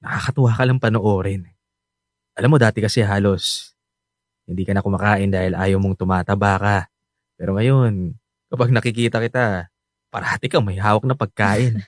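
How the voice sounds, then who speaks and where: A man's voice: on the low side, fairly even intonation, normal speed, pauses often, no room sound, clean studio sound, lightly acted. An actor playing a doting, easygoing young husband and expectant father in a Filipino radio drama. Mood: tender. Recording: music behind the voice.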